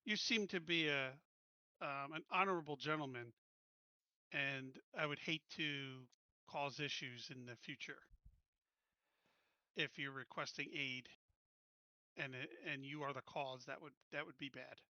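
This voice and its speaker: Man, roleplaying player, intensely bright edgy tone, halting blunt casual chat, sweary, slightly muffled dry recording. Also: mid-range voice, fairly even intonation, no echo. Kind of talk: casual in-game improvised chat.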